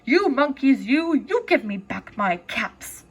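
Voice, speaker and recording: Male storybook peddler, played by a woman, higher pitched, slow, halting and emphatic. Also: big pitch swings, slightly rough, full character voice. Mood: angry scolding. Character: angry male cap peddler scolding monkeys. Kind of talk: storybook read-aloud character.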